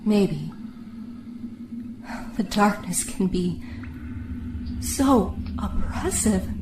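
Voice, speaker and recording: An actress playing a mysterious, calm woman imprisoned in the dark in an audio drama, speaking in measured, enigmatic replies. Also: medium pitch. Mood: pensive. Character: mysterious, calm female fellow prisoner in the dark. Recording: echoey, noisy recording with ambience.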